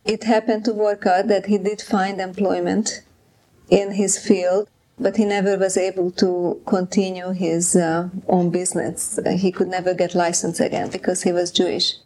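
A woman in her eighties from Czechoslovakia recalling her childhood in an interview, speaking in a measured, reflective way. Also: fairly low pitch, little pitch movement, dark tone, poor audio. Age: 80s. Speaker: interviewee, Holocaust survivor. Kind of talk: recounting memories in an interview.

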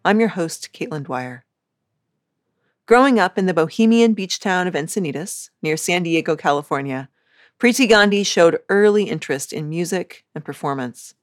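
A woman host delivering a measured scripted introduction. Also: on the low side, lively intonation, dry recording, studio quality. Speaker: podcast host. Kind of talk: scripted narration. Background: faint music.

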